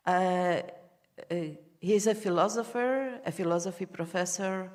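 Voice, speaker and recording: A woman's voice: mid-range voice, a little mellow. Hesitant woman moderator in a reverberant hall. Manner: hesitant, many filled pauses. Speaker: conference moderator. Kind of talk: formal introduction.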